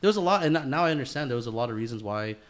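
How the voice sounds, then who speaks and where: A man's voice: medium pitch, lively intonation, racing. A man hosting a podcast as casual chat, slangy and loose, swearing freely. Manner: slangy, calls listener bro and dude, swears freely. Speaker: podcast host.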